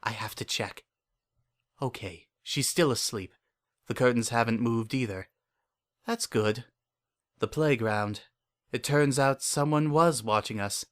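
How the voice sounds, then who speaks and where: A man's voice: medium pitch, unhurried, long pauses, lightly acted. A man playing a paranoid, obsessive father in a dramatic horror fan fiction reading, with an intense, brooding and repetitive way of talking. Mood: uneasy, suspicious. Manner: intense, repetitive, brooding, swinging between hushed and frantic. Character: paranoid, obsessive, unhinged father protecting his pony daughter.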